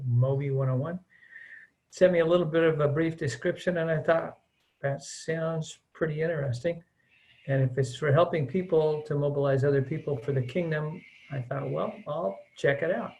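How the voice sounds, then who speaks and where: A man's voice: fairly high pitch, rough recording. A middle-aged man from the United States, talking in an earnest, chatty way with lots of "uh" and "um" as an interview guest. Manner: earnest, chatty, with many "uh" and "um" fillers. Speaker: interviewee, missions mobilizer. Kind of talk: relaxed conversational interview.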